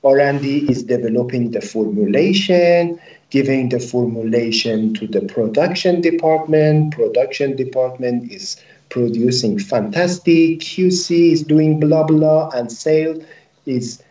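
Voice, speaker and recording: Muffled, roomy video-call audio carries a man in his forties with a Persian accent. He is a native Persian speaker who explains things slowly and deliberately in halting English, as an executive being interviewed. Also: mid-range voice, dark tone, distant mic. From Iran. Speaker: company managing director, interview guest. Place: indoor office room with hard ceiling. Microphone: video call, laptop at desk distance.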